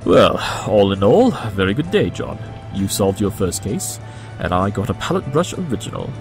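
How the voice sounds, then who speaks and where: An actor playing a brilliant, smug pony detective, speaking in a measured, condescending manner in an audio drama. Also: male voice, on the low side, very animated, creaky, darkish tone, faint music behind. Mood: smugly pleased.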